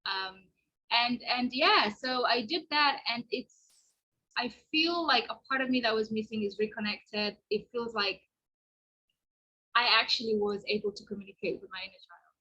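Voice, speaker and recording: Guest: Somali-native woman, earnest and reflective, bright husky voice, dull remote-call audio. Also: a little high, normal speed. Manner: earnest, reflective, many restarts. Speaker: podcast guest. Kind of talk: casual podcast conversation. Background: washing machine noise.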